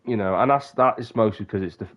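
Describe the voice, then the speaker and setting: A man's voice: on the low side, fast, highs cut off. A young man with a bunged-up, sniffly voice, rambling casually on a live stream and often breaking off mid-sentence. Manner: rambling, self-interrupting.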